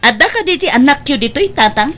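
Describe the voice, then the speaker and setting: A woman's voice: sits high, lively intonation, quick pace, music in the background. A woman with a Filipino accent playing a hot-tempered, confrontational young wife who scolds and taunts in a comedy drama.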